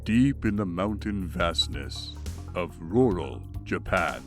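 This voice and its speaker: A man's voice: sits low, lively intonation, takes it slowly, faint music behind. A man giving dramatic scripted narration for a comic dub.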